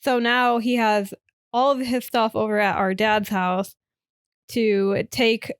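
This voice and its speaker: A woman's voice: a little high, little pitch movement, slow pace, smooth, dry recording, studio quality. A young woman from Michigan co-hosting a podcast, chatting casually with lots of fillers. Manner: chatty, recounting with many fillers. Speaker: podcast co-host. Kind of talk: casual chat.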